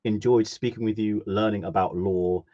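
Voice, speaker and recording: A man's voice: on the low side, steady tone, moderate pace, mellow, dull audio. A man hosting a live stream interview, asking rambling friendly questions with lots of fillers.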